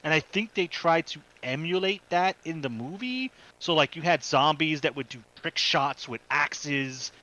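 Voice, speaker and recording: A man's voice: on the high side, measured pace, hardly any pauses, bright, forceful tone, poor audio. A man co-hosting a podcast, giving casual, conversational explanations and commentary. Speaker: podcast co-host. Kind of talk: casual chat, movie commentary.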